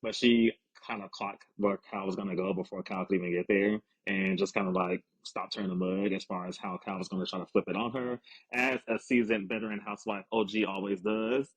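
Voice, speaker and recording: Low, husky-voiced man co-hosting. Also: steady tone, a little fast, hardly any pauses, low-quality recording. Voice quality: slightly raspy. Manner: laughs often, easygoing. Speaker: podcast co-host. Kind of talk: casual chat.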